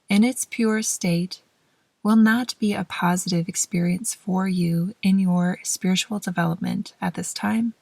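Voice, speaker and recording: A woman gently leading a guided meditation in a soft, breathy voice that often trails toward a whisper, with measured pauses between short phrases. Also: mid-range voice, dry recording. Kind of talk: slow, soothing guided meditation narration.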